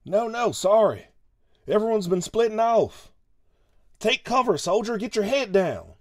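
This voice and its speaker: A man's voice: sits high, animated, unhurried, dry recording, clean studio sound, pitched above the performer's own voice. A man playing an embattled soldier under fire, with an urgent, strained delivery. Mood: urgent alarm.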